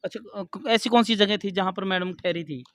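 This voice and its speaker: A man's voice: high-pitched, fairly quick, fairly bright tone, dry recording, clean studio sound. A man reporting and asking questions in an informal local news field interview.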